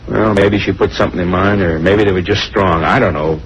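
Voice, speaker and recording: Casting: nervous American traveling salesman, defensive and rambling, quick delivery, dull noisy vintage radio sound. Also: deep voice. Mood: sheepish, explaining. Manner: rambling, colloquial, self-justifying. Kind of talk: 1950s radio crime drama acting.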